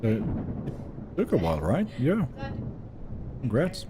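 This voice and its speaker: A man's voice: mid-range voice, wildly swooping pitch, a little slow, noisy background. A man with Finnish as his first language, streaming a game live and chatting casually as he reads out viewer messages. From Sweden. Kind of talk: casual live-stream chat while gaming.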